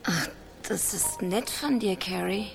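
A woman's voice: mid-range voice, slow pace, creaky, over music. A woman playing a friendly, obliging young barmaid with a polite, chatty manner in a radio drama. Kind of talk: naturalistic radio drama dialogue.

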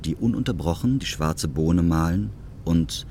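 A man's voice: sits low, unhurried, warm tone, a little hiss or hum. A man narrating scripted stage directions and scene descriptions for an audio drama.

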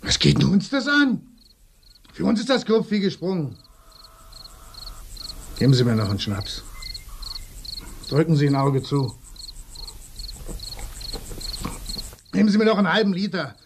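An actor playing a poor, drunken, bereaved villager in a radio drama, plain-spoken, plaintive and stubbornly pleading. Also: male voice, a little high, sing-song, normal speed, gravelly, pauses often, background noise. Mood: plaintive, wheedling. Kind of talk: German radio drama acting.

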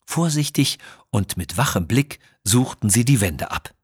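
A male narrator tells a children's adventure tale with dramatic, very animated intonation. His middle-pitched voice has a husky grain and is recorded dry. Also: measured pace, no echo. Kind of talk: scripted dramatic storytelling for children.

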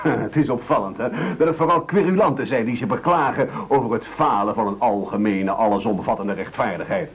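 An actor in a radio play playing a pompous, scheming leader who speechifies in formal, flowery phrases. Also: male voice, on the low side, a little fast, husky. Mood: mocking. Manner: oratorical, grandiloquent, formal. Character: pompous, scheming, silver-tongued male leader of castaways. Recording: dull, lo-fi radio play recording.

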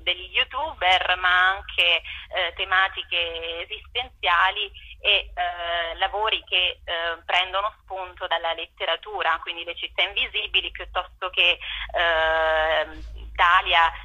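A woman answers interview questions on a phone call to a radio show. Her voice is sharply bright with a husky edge, and she explains things haltingly, searching for words. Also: on the low side, steady tone. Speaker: festival artistic director, interview guest.

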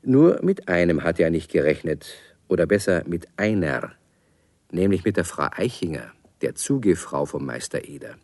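A male narrator with a lowish voice tells a children's story. His delivery is scripted and expressive, with plenty of melody, recorded close and dry. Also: moderate pace, no echo. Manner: expressive, clearly phrased.

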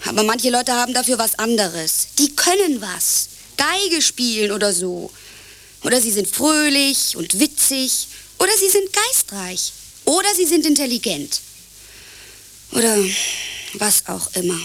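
A woman playing an ageing, listless, self-deprecating character in a naturalistic radio drama, speaking haltingly. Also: on the high side, very animated, fairly bright tone, full character voice. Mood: despondent.

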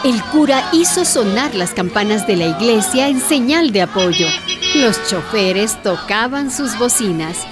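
A woman narrating a radio drama in a measured, dramatic storytelling manner. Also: fairly high pitch, animated. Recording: narration over music and crowd noise.

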